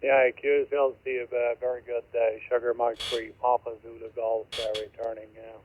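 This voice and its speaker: A man speaking haltingly, with many pauses, in a ham radio contact, heard through a radio receiver. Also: medium pitch, monotone, slight background noise. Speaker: amateur radio operator. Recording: narrow-band shortwave radio, fading volume.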